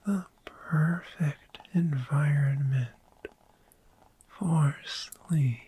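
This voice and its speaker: A performer voicing a friendly, gentle dragon in a soft-spoken ASMR roleplay, breathy and mostly whispered, soothing and lingering over words. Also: medium pitch, full character voice. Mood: sleepy, tender. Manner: slow, soothing, lingering repetition. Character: friendly, gentle dragon host of a sleepy hoard.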